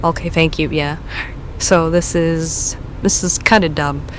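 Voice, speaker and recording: An actress playing a nervous woman caller who talks in a hesitant, halting, self-deprecating way. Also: sits low, steady tone, slight background noise. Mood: uneasy.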